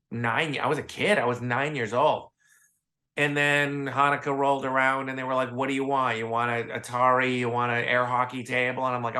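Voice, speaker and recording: A man's voice: mid-range voice, bright, forceful tone. A man from Chicago with an American accent, a podcast guest telling casual, chatty anecdotes and voicing the dialogue in them. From Chicago, United States. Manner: chatty, acts out quoted dialogue.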